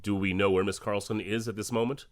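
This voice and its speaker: An actor playing a stern, calculating government director in an audio drama, clipped, deliberate and authoritative. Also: male voice, lowish voice, fairly even intonation, no echo, clean studio sound, natural voice. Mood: suspicious, commanding.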